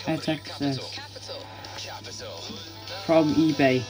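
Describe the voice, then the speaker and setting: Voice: medium pitch, steady tone, drawn-out words, over music. A vlogger casually demonstrating products in plain, simple informal phrasing. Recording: noisy home camera recording.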